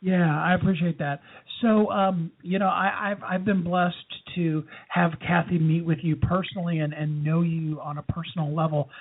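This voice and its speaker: High-pitched, husky male interview host. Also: steady tone, moderate pace, hardly any pauses. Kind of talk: casual interview chat. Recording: tinny, phone-like line.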